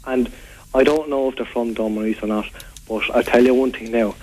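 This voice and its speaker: Irish male, Waterford accent, acting as a fed-up local phoning in to radio, earnest, repetitive, mid-pitched. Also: steady tone, fairly quick, darkish tone, close to an everyday voice. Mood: indignant. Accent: Irish, County Waterford. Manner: rambling, repetitive, earnest complaining. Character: aggrieved, rambling local man fed up with food-throwing youths. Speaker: radio phone-in caller. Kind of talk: prank call to a local radio show. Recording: phone call on local radio.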